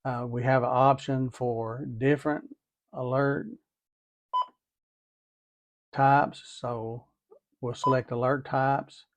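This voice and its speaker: A man's voice: mid-range voice, level tone, slow pace, pauses often, no room sound. A man giving a methodical, hands-on tutorial, talking through each step and repeating as he goes. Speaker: DIY product demonstrator.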